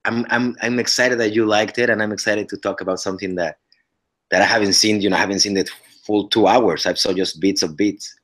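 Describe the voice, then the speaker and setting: A man's voice: on the low side, steady tone, a little fast, a bit bright. A man in his thirties from Mexico with a Mexican Spanish accent, speaking English as a second language. He talks earnestly on a video call from home, often restarting his sentences. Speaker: actor guest. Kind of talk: casual interview chat over video call. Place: living room at home. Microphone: webcam on a video call.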